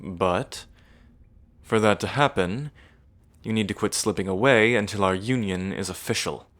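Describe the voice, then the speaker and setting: A man's voice: on the low side, measured pace, a bit bright. A man playing a smug, menacing evil prince in an ASMR roleplay, mocking and condescending, drawling like a theatrical villain. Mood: scornful menace.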